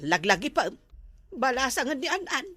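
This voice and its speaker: A woman with an Ilocano accent playing a gentle, motherly role in a family comedy sketch. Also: high-pitched, very animated, normal speed, a little hiss or hum. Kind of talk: comedic family drama acting.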